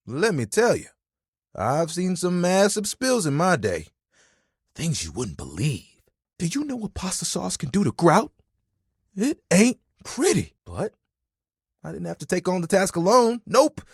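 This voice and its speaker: A dramatic living towel game character, playful n chatty, super animated pitch, bit husky, really dry close sound. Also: male voice, no echo, exaggerated voice. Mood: nostalgic, fond. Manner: playful, chatty, theatrical, easily wounded. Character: friendly, vain, dramatic living bathroom towel, male. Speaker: voice actor in a video game.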